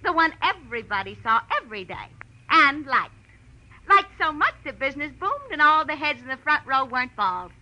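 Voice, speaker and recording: An American actress playing a scheming, defiant nightclub performer in 1940s radio noir, sassy and tough-talking. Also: female voice, sits high, very animated, normal speed, sharp tone, dull audio, exaggerated voice. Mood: cool, menacing. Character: brassy, hard-edged female nightclub performer, scheming and defiant.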